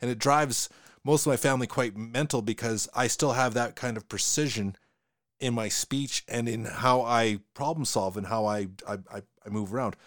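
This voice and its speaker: A man with a Western Canadian accent, talking fast in casual conversation and often repeating his words. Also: medium pitch, fairly bright tone, dry recording, clean studio sound.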